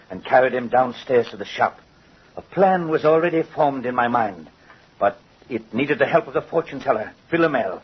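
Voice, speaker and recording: Vengeful doll-maker, muffled old radio horror. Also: male voice, mid-range voice, slightly rough. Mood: confessing. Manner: theatrical, menacing, mocking. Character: vengeful, gloating male doll-maker and murderer.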